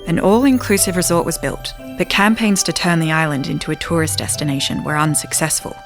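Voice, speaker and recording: A woman narrating a scripted audio fable in a measured, wryly ornate storybook manner. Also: sits low, steady tone, over music. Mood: wry storytelling. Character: wry, grandiose storybook narrator of an island fable.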